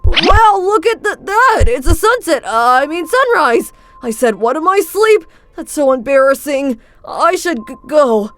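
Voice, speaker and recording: A woman's voice: sits high, lively intonation, measured pace, few pauses, soft background music, pitched above the performer's own voice. A woman voicing a guilty, self-loathing teenage boy who stammers anxiously. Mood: flustered.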